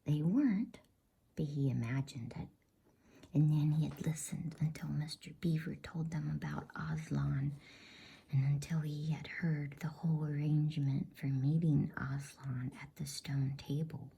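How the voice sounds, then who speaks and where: A woman whispering softly in a gentle, unhurried, hushed ASMR style as she hosts and reads a bedtime story. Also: low voice, fairly even intonation. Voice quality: breathy, soft whisper. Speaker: host and reader of a bedtime story channel.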